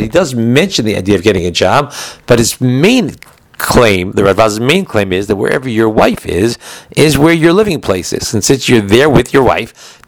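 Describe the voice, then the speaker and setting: A man's voice: medium pitch, dry recording. A man giving an informal religious law class, explaining texts in a digressive way, often rephrasing and posing questions to himself.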